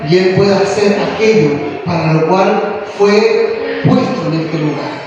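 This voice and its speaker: A man's voice: sits high, a little slow, dull audio, a crowd in the background. A male pastor preaching a sermon in a church, full of rhetorical questions and rising to impassioned calls. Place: church hall. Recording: echoey hall from far off.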